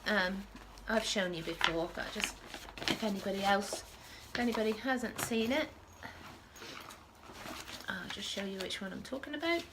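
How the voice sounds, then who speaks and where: A woman's voice: medium pitch, normal speed, noisy background. A woman hosting a casual knitting podcast from home, sniffling with a starting cold and rambling with frequent self-corrections. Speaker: podcast host.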